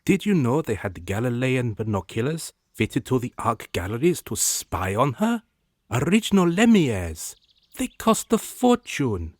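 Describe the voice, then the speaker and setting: An actor playing a gossipy, sympathetic young palace servant in a radio drama, theatrical, deferential and confiding. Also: male voice, mid-range voice, big pitch swings, measured pace, dry recording, studio quality. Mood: gossipy, pitying.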